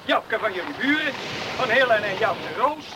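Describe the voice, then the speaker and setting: Curious high-voiced young island lad. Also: male voice, sing-song, moderate pace. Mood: teasing. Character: young male islander friend, curious, practical and amused. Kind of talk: Dutch radio play acting. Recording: noisy old radio play recording.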